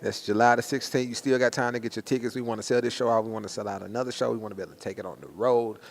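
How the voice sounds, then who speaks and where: Fast-talking man with slangy, gravelly delivery. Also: medium pitch, fairly even intonation. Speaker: interviewer promoting a stage play. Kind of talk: casual hype-style press junket interviewing.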